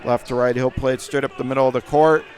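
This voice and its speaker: A man calling live radio basketball play-by-play in clipped phrases that can burst into excited calls. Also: medium pitch, quick pace. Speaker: play-by-play announcer. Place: courtside in a high school gym during a game.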